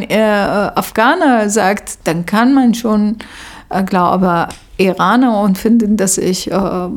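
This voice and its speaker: Slow, creaky woman with an Arabic accent. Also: mid-range voice, darkish tone. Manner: halting, searching for words. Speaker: social worker telling her experience. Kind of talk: spontaneous interview account.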